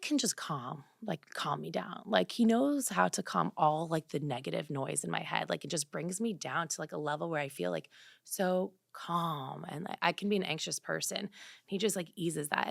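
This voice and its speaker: A woman's voice: low voice, vocal fry, no room sound, clean studio sound. A woman from New Jersey, raised speaking Greek, chatting casually as a podcast guest with plenty of "like" fillers. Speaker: guest, fashion and beauty influencer. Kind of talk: casual interview conversation.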